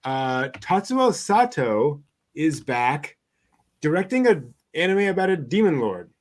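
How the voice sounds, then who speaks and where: A man hosting an anime news show, chatting casually through items with a somewhat halting delivery. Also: fairly high pitch, very animated.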